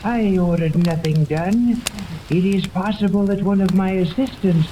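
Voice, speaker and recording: An actor playing a haughty, sinister old scientist mastermind in 1930s radio serial style, pompous and formal. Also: male voice, high voice, a little slow, mellow. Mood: irritated, imperious. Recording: noisy vintage 1930s radio recording.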